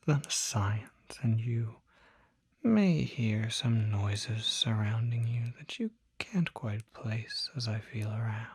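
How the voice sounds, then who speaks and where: A performer voicing a calm, courteous demon doctor in an ASMR roleplay, whispering softly with a measured, reassuring, formal bedside manner. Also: mid-range voice, no echo, exaggerated voice. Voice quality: breathy, whispery.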